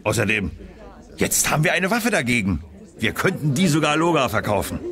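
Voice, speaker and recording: A man's voice: medium pitch, very animated, a little fast, fairly bright tone. A man playing a blustery, good-living army general in a children's audio drama. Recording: busy chatter behind the voice.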